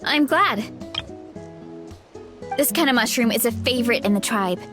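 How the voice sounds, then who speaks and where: Easygoing, food-loving young warrior woman voiced by a woman, high and giggly, faint music behind. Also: animated, normal speed, natural voice. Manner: easygoing, giggly, a little absent-minded. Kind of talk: game voice acting. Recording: game audio with faint music.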